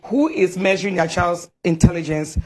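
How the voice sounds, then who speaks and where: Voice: medium pitch, moderate pace, a little mellow. A panel guest with a Ghanaian accent on a TV studio panel, speaking in an opinionated, persuasive way. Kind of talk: TV panel discussion.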